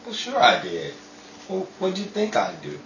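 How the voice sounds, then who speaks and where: A man's voice: sits high, huge pitch swings, normal speed, fairly bright tone, exaggerated voice. A man playing a befuddled, well-meaning 1930s husband in a radio comedy drama, hesitant and mild. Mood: earnest. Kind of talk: old-time radio comedy-drama acting. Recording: dull, roomy, distant, faintly noisy.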